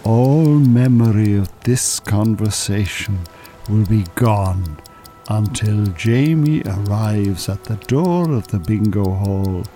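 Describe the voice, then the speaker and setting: Irish con-artist hypnotist, smooth, commanding, very slow delivery, slightly deep, audio drama. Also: male voice, animated, dark tone, soft background music. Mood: soothing, commanding. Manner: deliberate, commanding, soothing.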